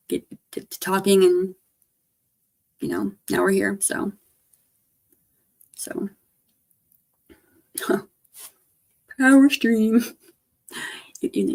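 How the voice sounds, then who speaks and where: A woman livestreamer, hoarse, chatting casually and rambling to her viewers, often trailing off. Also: mid-range voice. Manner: rambling, trailing off, soft-spoken.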